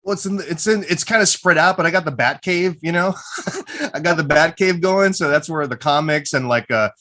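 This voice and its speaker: A man's voice: a little high, normal speed, sharp tone. A man from the Philippines, a filmmaker guest on a video call, easygoing and nerdy, laughing often as he talks. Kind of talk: casual interview over video call.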